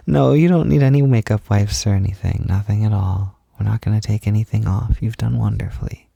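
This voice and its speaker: A man playing a tender, encouraging partner in an intimate roleplay audio, speaking softly in a gentle, hesitant, reassuring way. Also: lowish voice, moderate pace, dry recording, highs cut off, full character voice. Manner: gentle, reassuring, hesitant, with breathy whispered moments. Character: tender, encouraging man comforting partner while doing makeup. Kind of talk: intimate roleplay audio, soft ASMR talk.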